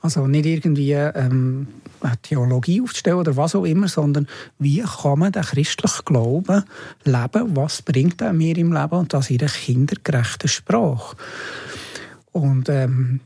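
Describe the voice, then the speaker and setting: Man for a conversational interview guest, fairly high gravelly voice, explains and rephrases. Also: normal speed, mellow, no echo. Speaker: interview guest, radio drama producer.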